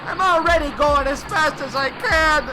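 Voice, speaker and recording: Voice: sits high, normal speed, noisy background, natural voice. An actor playing a nervous, panicky small male tank engine in an amateur audio drama, with a hesitant, stammering way of talking. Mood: panicked.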